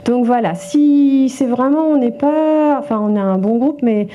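A woman's voice: high voice, a little slow, mellow. A woman hosting a library event, introducing the speakers in an informal, hesitant way. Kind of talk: introducing a public talk.

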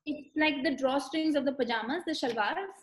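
A woman's voice: high-pitched, moderate pace. A young woman, an author, telling family stories on a video call with an eager, detailed storytelling manner. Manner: warm storyteller, lingering on striking details. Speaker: author being interviewed. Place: room with bookshelves, indoors. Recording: echoey room on a video call.

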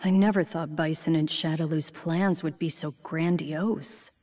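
A calm, businesslike female agent. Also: low-pitched, unhurried, far from the mic. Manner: calm, matter-of-fact narration. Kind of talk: video game voice acting.

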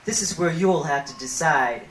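A woman poet performing spoken word live, with a deliberate, theatrical delivery, on a handheld microphone in a small venue. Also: sits low, slight background noise. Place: small brick-walled performance venue, indoors. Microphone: handheld stage microphone through a PA. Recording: echoey amplified 1990s live camcorder recording.

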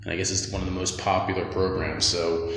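A man's voice: low voice, level tone, fairly quick. A man casually narrating his own painting work as a handyman, talking off the cuff in an informal, rambling way. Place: small empty concrete basement room. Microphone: handheld phone or camera held by speaker. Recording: very echoey bare room, background noise.

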